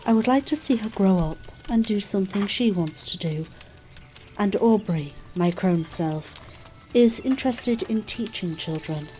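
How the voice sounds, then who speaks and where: A woman playing a gentle, modest, hopeful character in an audio drama, speaking thoughtfully and with some hesitation. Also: medium pitch, expressive, measured pace, a little hiss or hum, lightly acted. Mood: tentative, hopeful. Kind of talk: scripted audio drama dialogue.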